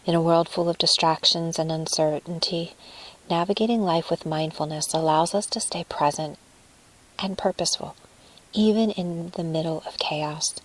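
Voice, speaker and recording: Low-pitched, soft-spoken woman guiding meditation. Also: steady tone, normal speed, low-quality recording. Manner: soft, breathy, soothing delivery. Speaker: meditation guide. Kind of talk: guided meditation.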